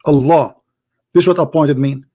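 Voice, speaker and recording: A man's voice: on the high side, fairly even intonation, moderate pace, dark tone. A man who is not a native English speaker, hosting a heated live debate, insistent and talking over the other side. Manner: insistent, repeats points, cuts in. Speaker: debate host.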